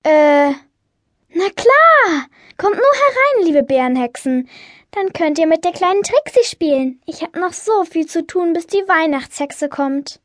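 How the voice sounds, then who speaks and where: A woman playing a busy, kind-hearted young witch in a children's audiobook, speaking in an expressive, exclaiming way. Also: very high-pitched, unhurried, dry recording, studio quality, heavily acted. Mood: welcoming, hurried.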